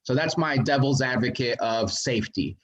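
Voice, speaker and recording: A man's voice: medium pitch, lively intonation, moderate pace. Man talking at a committee meeting. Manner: talkative, self-described loud voice. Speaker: committee member. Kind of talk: meeting discussion.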